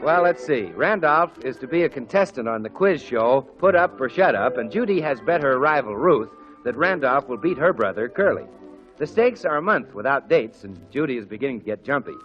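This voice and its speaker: A man's voice: mid-range voice, expressive, a little fast, faint music behind. An American man announcing on 1940s radio in an emphatic, folksy, salesmanlike scripted style. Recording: muffled old 1940s radio broadcast.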